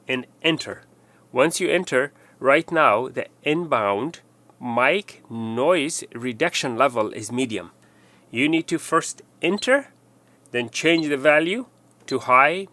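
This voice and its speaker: A man's voice: medium pitch, fairly bright tone. A man presenting an unscripted technical tutorial, explaining step by step in a somewhat hesitant way.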